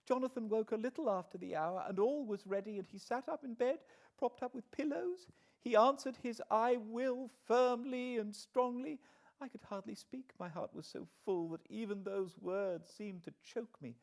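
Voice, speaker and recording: A man's voice: very high-pitched, darkish tone, pitched above the performer's own voice. A man voicing an earnest, emotional young Victorian woman in an audiobook reading of a letter to her friend. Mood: overcome, tender.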